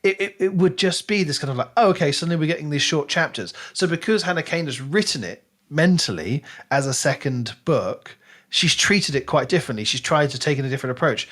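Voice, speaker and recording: A man's voice: a little high, lively intonation, a little fast, fairly bright tone. Emphatic man in casual discussion. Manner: emphatic, repeats words for emphasis. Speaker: book podcast co-host.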